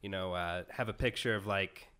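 A man's voice: sits low, moderate pace, bright, forceful tone, clean tone. A young man co-hosting a crude podcast, making dry, rambling asides full of hesitations. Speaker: podcast co-host. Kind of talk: crude casual group banter.

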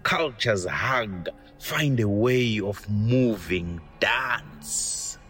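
A man playing a hesitant, dreamy corridor dweller in a radio play, musing slowly and poetically. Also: lowish voice, expressive, fairly bright tone, soft background music, exaggerated voice. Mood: poetic. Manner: slow, deliberate, musing.

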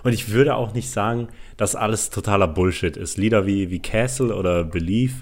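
A man co-hosting a podcast, giving his opinions in casual, halting talk with bits of English slang. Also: lowish voice, a little mellow. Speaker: podcast co-host. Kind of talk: casual chat about music.

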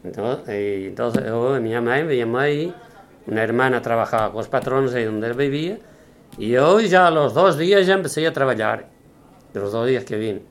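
Halting Portuguese-accented man. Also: mid-range voice, animated. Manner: halting, many restarts. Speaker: interviewee. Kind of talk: reminiscing interview.

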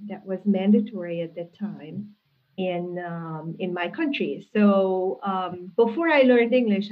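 A woman, a guest answering interview questions in a thoughtful, measured way with frequent restarts, heard over a video call. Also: medium pitch, husky. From Hungary. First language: Hungarian. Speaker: guest, linguistics professor. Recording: tinny phone-like call audio.

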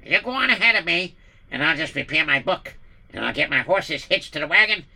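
A cackling, boastful schemer, put on by a male storyteller. His voice is bright and pushed higher and louder, sneering at those he outwits. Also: sing-song, brisk, vocal fry, exaggerated voice. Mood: gloating. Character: scheming gloating male villain, middle-aged.

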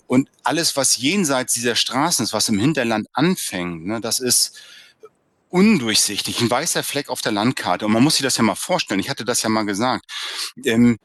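A man talks at a mid pitch, thinking aloud and circling back with small fillers and quick tag questions. He explains at length, and his voice sounds close and dry, with no room around it. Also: a bit bright, no echo. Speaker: author and former soldier, interview guest. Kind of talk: reflective conversational interview answer.

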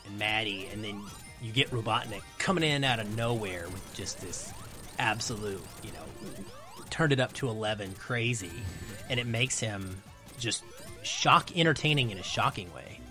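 A man's voice: on the low side, bright, forceful tone. A man around his thirties or forties talking casually as a podcast guest, explaining his film opinions in an analytical, emphatic way.

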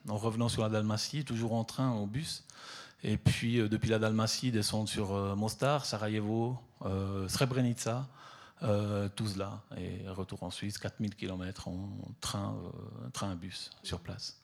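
A middle-aged man from southern Italy speaks about his work in a fairly low voice. He gropes for words, repeating himself and filling gaps with hesitations. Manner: halting, many fillers and repeated words. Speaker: photographer. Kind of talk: hesitant conversational talk at an exhibition.

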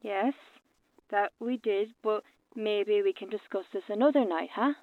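A woman's voice: mid-range voice, a little slow, no echo. An actress playing a sleepy, polite but firm woman, heard through a telephone filter in a radio drama. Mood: politely reluctant. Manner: polite, restrained, brushing off. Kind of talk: naturalistic radio drama acting. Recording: narrow, dry phone-call sound.

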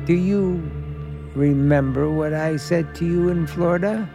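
An actor playing a menacing, obsessed hotel owner in 1940s radio suspense style, brooding, sinister and deliberate. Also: male voice, a little high, creaky. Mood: cold menace. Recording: old radio drama with music behind.

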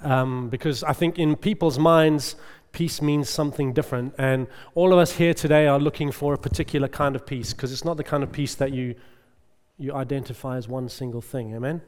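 A man's voice: medium pitch, fairly even intonation, a little fast, a little mellow. A middle-aged male preacher giving a conversational sermon, chatty and often checking in with the congregation. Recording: long reverb of a large hall.

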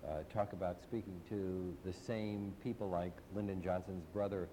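A man's voice: deep voice, level tone, moderate pace, dark tone, in a large hall, a little hiss or hum. A man moderating a panel, asking the speakers probing questions. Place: large hall.

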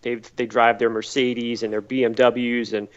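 A middle-aged man from Massachusetts, a podcast guest telling stories at a relaxed pace and often repeating words as he thinks. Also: medium pitch, moderate pace, clear, resonant tone, lo-fi sound. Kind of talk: conversational interview answers.

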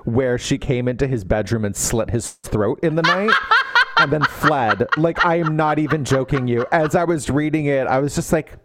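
A man's voice: medium pitch, moderate pace, heavy background noise. A man co-hosting a podcast, giving a casual comedic book recap, sarcastic and mockingly acting out the characters' lines. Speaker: podcast co-host.

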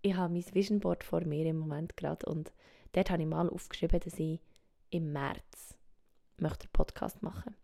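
A Swiss woman speaking German with a Swiss German accent, hosting a reflective solo podcast, thinking aloud softly and often dropping to a whisper. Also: deep voice, vocal fry. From Switzerland. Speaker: podcast host. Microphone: home microphone.

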